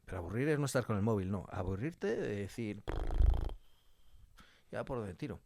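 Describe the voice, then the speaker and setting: A man's voice: on the low side, animated, moderate pace, vocal fry, a little mellow. A man from chatting informally as an interview guest in a studio, joking and repeating points for emphasis. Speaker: interview guest, audio fiction scriptwriter. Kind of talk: casual interview conversation.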